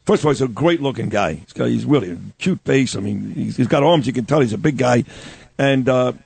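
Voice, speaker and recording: A man's voice: medium pitch, animated, a little fast, vocal fry, darkish tone, slightly rough, dry recording. Rambling male talk-show host. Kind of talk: morning show interview.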